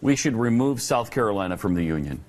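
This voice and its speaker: A man's voice: lowish voice, expressive, fast, a little mellow. A man with an American accent co-hosting a political talk show, asking questions in an informal, opinionated manner. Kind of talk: casual political interview.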